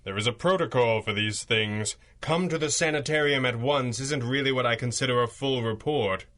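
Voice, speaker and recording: A man's voice: medium pitch, moderate pace, a bit bright, lightly acted. A man playing a stern, commanding masked crimefighter in a radio serial, clipped and authoritative. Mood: impatient, alarmed. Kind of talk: radio drama acting in 1930s pulp serial manner.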